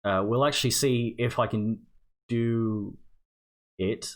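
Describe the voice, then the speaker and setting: A man's voice: mid-range voice, steady tone, slow pace. A young man hosting a charity livestream, chatting through casual announcements in a hesitant, unscripted way. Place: indoor home streaming setup.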